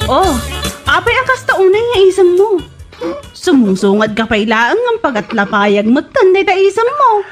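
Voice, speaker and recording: A woman's voice: very high-pitched, big pitch swings, normal speed, soft background music. A woman with an Ilocano accent playing a feisty, exclamatory woman in a comedy radio drama. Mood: indignant.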